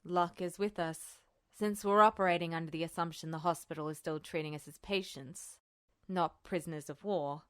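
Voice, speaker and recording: A woman voicing a guarded, weary male army colonel in an audiobook, speaking in a measured, deliberate way. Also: on the low side, fairly even intonation, fairly bright tone, smooth, no room sound, studio quality, voice pushed down, lightly acted. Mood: measured, cautious.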